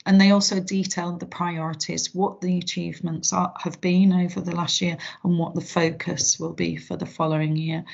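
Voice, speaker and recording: A woman's voice: lowish voice, gravelly, a little mellow. A British woman giving an online training presentation as a safeguarding trainer, explaining things in an informal, reassuring way over a video call. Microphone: video call microphone. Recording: dull, muffled online call audio.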